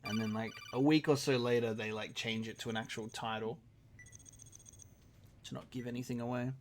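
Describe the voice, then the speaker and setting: A man's voice: mid-range voice, steady tone, moderate pace, a little hiss or hum. A man talking casually as a game streamer, in a rambling, informal way with some casual swearing. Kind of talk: casual live-stream chat and game reaction.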